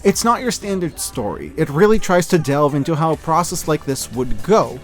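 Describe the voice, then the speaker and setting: A man giving scripted video-essay commentary as an online reviewer, enthusiastic and expressive with wry asides. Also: on the high side, normal speed, faint music behind. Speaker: online media reviewer and host.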